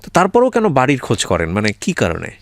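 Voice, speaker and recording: A man with a Bangladeshi accent hosting a life-story interview, speaking deliberately and emphatically and mixing in some English words. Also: medium pitch, huge pitch swings, no room sound. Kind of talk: conversational interviewing, addressing guests and audience.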